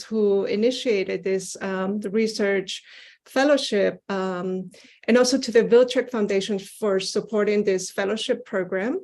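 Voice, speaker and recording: A woman from Ecuador giving an earnest seminar talk about her art over a video call, pausing often with "uh" and "um". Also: medium pitch. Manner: earnest, with frequent "uh" and "um" fillers. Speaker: guest artist presenting her work. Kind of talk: online seminar presentation with slides.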